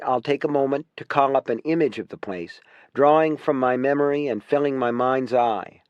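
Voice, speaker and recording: A man reading a nonfiction audiobook in a measured, clearly phrased scripted narration. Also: medium pitch, no echo.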